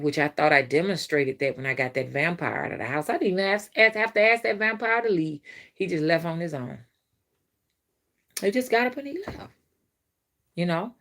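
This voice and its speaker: Low-voiced woman chatting on a phone live stream. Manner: rambling and repetitive, addresses viewers directly. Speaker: spiritual reader and live-stream host. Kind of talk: casual live-stream chat, reading viewer comments.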